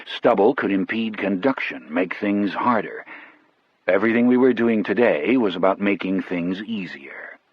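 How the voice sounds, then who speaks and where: Low, husky male audiobook narrator. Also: normal speed, no room sound. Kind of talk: scripted first-person storytelling. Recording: dry but muffled, dull audio.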